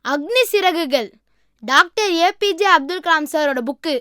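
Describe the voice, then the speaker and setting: A woman voicing a studious, helpful young schoolboy in an animated series, with an Indian Tamil accent and an earnest, mildly scolding manner. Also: high-pitched, measured pace, background noise. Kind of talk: animated children's series acting.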